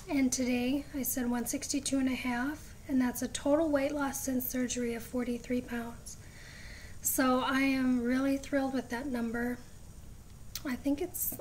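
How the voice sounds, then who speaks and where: Chatty raspy-edged woman vlogger. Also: high-pitched, a little hiss or hum, poor audio. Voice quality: raspy voice at times. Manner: chatty, rambling, frequent ums. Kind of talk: casual vlog update.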